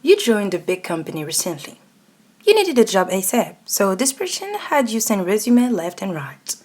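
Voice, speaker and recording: A woman's voice: mid-range voice, darkish tone, husky. A woman reading a scripted second-person ASMR story as its narrator. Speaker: narrator and voice actor.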